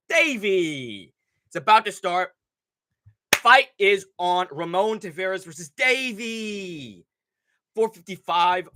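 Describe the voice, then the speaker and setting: Nasal young man with a cold, animated. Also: a little high, dry recording, studio quality. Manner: profane, rambling, excitable. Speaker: livestream host. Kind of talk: casual livestream commentary.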